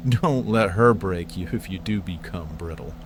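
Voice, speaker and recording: Male actor as loyal young acolyte, very low voice, earnest, unhurried, audio drama, music underneath. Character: reluctant, loyal 18-year-old male mystery-school acolyte.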